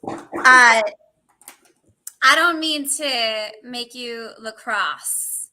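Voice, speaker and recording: A woman with a very bright, sharp, high-ish voice drawls her puns slowly and sweetly. She speaks over a video call in a comedy pun battle. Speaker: pun contest competitor. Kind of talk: pun banter.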